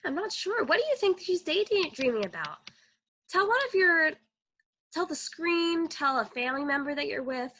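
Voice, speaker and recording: Very high, bright animated woman. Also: normal speed, slight background noise. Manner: enthusiastic, questioning, animated. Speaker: read-aloud co-host, teacher. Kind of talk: interactive children's read-aloud lesson. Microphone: video call.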